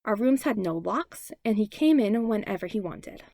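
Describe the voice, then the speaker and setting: A woman's voice: medium pitch, normal speed, no echo, studio quality. A woman voicing a traumatized, defiant teenage boy in a fan fiction audiobook, bitter and indignant. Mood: growling resentment. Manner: deadpan turning to indignant growl.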